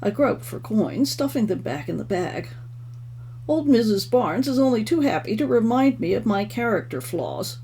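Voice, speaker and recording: A woman's voice: mid-range voice, warm tone. A woman author reading her own first-person novel expressively, as a storyteller. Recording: clearly noisy home recording.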